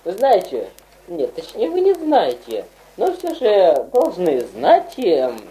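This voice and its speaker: Woman, mock radio lecturer, halting with fillers, very dark tone. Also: fairly low pitch, huge pitch swings, slight background noise, low-quality recording. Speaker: mock lecturer in a comedy skit. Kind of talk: parody radio program.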